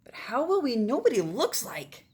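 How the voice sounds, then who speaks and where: A woman's voice: on the high side, very animated, moderate pace, darkish tone. A woman voicing a curious young boy, an eager friend in a storybook, exclaiming and asking questions. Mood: eager, questioning.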